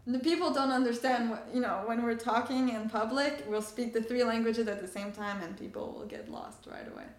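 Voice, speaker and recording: A woman's voice: a little high, huge pitch swings, a little fast. Young woman in an echoey room, explaining. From Canada and France, Iranian family. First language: Persian (Farsi). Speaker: interviewee. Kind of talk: conversational interview answers.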